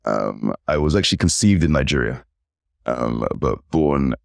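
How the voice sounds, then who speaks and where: English man, forties, podcast guest; animated storyteller, very low voice, dry sound. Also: moderate pace, gravelly, no echo. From United Kingdom. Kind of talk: casual interview chat.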